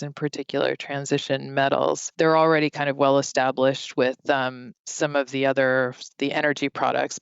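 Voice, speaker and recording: A very smooth, clear woman in her fifties with an American accent, originally from France, answers interview questions as a finance executive. She is measured and articulate, in a dry, slightly dull recording. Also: rumbling low, no echo. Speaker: interview guest, bank executive.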